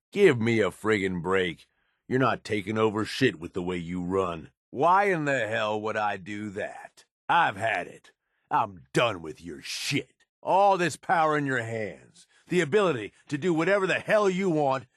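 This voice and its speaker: A middle-aged man with an American accent playing a hard-bitten, cynical raider lieutenant in a video game, with a gruff, streetwise, sardonic delivery. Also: on the low side, animated, measured pace, fairly bright tone, dry recording, studio quality. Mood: angry, contemptuous. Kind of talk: in-game character dialogue.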